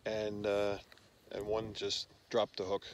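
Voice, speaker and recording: Middle-aged man, low voice, noisy outdoors. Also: completely flat delivery. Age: 50s. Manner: halting, pausing between short phrases. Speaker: host, father fishing with his sons. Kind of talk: casual outdoor vlog narration. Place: outdoors on a frozen lake. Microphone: phone at arm's length. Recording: noisy outdoor phone recording.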